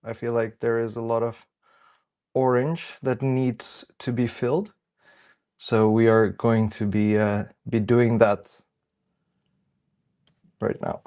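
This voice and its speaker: A man's voice: medium pitch, little pitch movement, slow, long pauses, darkish tone. A young man with the sniffles, hosting a casual livestream while he works and chatting to viewers as they come in. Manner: chatty, greets viewers by name. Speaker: livestream host. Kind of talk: casual live-stream chat while working.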